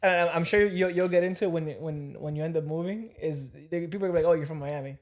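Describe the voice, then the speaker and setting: Relaxed male host with a lighter voice, offering casual advice. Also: on the high side, fast talker, a little hiss or hum. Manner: laid-back, advice-giving. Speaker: podcast host. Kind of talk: casual podcast chat.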